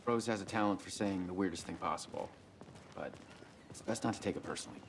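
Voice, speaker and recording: Small-town lawman, lowish voice, folksy, casual game dialogue with slight background noise. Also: quick pace. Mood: relaxed, dismissive. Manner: casual, plain-spoken. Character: easygoing, folksy small-town male sheriff. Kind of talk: video game acting.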